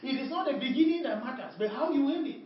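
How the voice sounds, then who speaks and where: A woman's voice: high voice, animated, quick pace. A woman preaching a sermon, repeating emphatic refrains and calling out to the congregation. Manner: repetitive call-and-response preaching, emphatic refrains. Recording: echoey hall, distant mic, dull sound.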